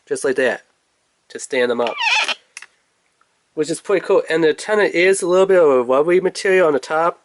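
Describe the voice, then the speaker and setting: Rambling man with a slightly high voice. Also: expressive, brisk, long pauses. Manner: informal, rambling, thinking aloud. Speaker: product reviewer. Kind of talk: casual unboxing review.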